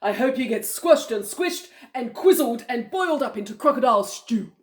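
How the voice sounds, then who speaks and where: A big, outraged elephant from a children's tale, voiced by a woman. The words come out loud and emphatic in a scolding tone, with plenty of swing in the pitch. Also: lively intonation, normal speed, roomy sound, close to an everyday voice.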